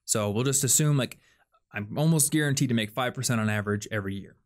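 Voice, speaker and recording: A young man talks through ideas on a casual podcast. He explains loosely and often fills pauses with "like" and "um". His voice sits in a middle range. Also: mid-range voice. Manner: chatty, explains things with lots of "like" and "um". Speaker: podcast host.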